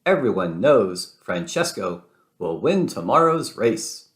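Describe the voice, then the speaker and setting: Man doing a boastful, slow-talking Italian race car. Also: lowish voice, big pitch swings. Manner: pompous, speaks of himself in third person. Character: boastful Italian male race car, grandly self-assured. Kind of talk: children's storybook character voice.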